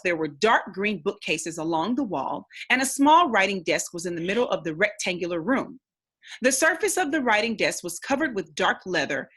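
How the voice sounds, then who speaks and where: A woman reading a storybook aloud like a teacher, pausing to think aloud and explain. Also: mid-range voice, fairly bright tone, slightly rough.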